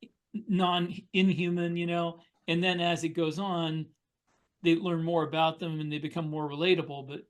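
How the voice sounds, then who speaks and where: A man with a somewhat high voice talks informally as a podcast panelist. His delivery is halting, and he often repeats words while forming a thought. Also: level tone. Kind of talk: casual discussion.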